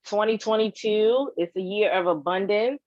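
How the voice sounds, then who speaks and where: A woman on a casual livestream, speaking as a cast member in an affirming, motivational and deliberate way. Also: medium pitch, a little mellow, dry recording. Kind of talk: casual livestream team chat. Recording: muffled, dry online call audio.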